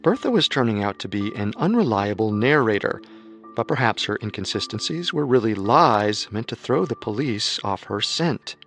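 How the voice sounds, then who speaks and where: A man's voice: lowish voice, big pitch swings, moderate pace, a bit bright, soft background music. Man narrating true crime. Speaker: narrator and co-host.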